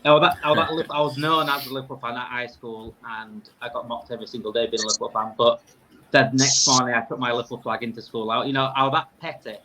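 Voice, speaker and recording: A man's voice: mid-range voice, steady tone, fast talker, sharp tone, rough recording. Yorkshire man chatting casually. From Yorkshire, England. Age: 30s. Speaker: podcast guest, fan podcaster. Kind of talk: casual conversation.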